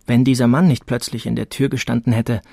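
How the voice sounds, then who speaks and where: A man's voice: medium pitch, little pitch movement, brisk, creaky, warm tone, no room sound, studio quality. An actor playing an earnest father who tells his daughter family memories in a reflective manner, in a radio drama. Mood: earnest, reminiscing. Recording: music behind the voice.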